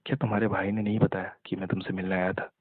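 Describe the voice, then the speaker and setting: Low, husky man on a phone call. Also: level tone, fast. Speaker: caller talking with an ex-partner. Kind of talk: intimate phone conversation. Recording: muffled narrow-band phone call, echoey.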